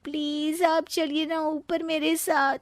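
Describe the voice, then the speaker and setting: A woman's voice: high-pitched, level tone, slow, no room sound, pitched above the performer's own voice. A woman voicing a timid, aggrieved but playfully scheming young sister-in-law in a comic family story. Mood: fearful pleading.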